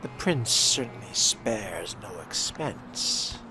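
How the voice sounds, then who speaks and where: A man's voice: on the low side, sing-song, over music. A man voicing a haughty, sardonic young vampire in character as he comments on his game, disdainful and dry. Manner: slow, disdainful, dryly sarcastic. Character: haughty, sardonic newly made male vampire fledgling. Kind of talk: in-character role-play gaming commentary.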